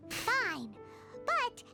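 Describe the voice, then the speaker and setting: A woman voicing a tiny, childlike, cheeky fairy companion in a video game, squeaky and easily annoyed. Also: full character voice. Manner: speaks of herself in the third person. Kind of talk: video game character acting.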